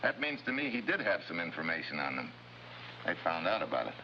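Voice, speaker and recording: An actor playing a tough, wry American special agent with clipped, wisecracking delivery in a 1950s-style television crime drama. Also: male voice, sits low, very animated, fairly quick. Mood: brisk, probing. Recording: dull, noisy old TV soundtrack.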